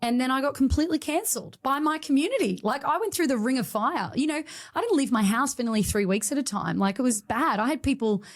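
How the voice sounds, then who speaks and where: A woman's voice: sits high, lively intonation, fast talker, hardly any pauses. Give me a woman sharing her story with emotion in an interview. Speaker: guest, studio owner. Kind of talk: candid podcast interview.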